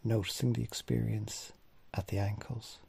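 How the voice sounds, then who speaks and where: Husky, low-voiced man guiding meditation. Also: little pitch movement. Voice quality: husky, breathy, often trailing into near-whisper. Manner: unhurried phrases with long pauses, gently instructing. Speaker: mindfulness meditation teacher. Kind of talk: guided body scan meditation.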